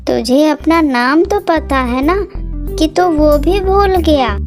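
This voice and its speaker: A woman doing a high-pitched, snooty old cartoon woman. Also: slow pace. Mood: indignant. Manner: bossy, scolding. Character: snooty, short-tempered old woman, bossy visiting sister-in-law. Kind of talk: Hindi cartoon story dialogue. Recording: music playing behind the voice.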